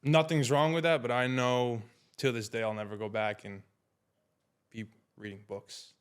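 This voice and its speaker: A man's voice: medium pitch, level tone, moderate pace, clean tone. A man in his twenties from New York's Hudson Valley, chatting casually as a podcast guest, easygoing and slangy, with lots of fillers. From New York, Hudson Valley. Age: 20s. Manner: laid-back, slangy, many fillers. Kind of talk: casual chat.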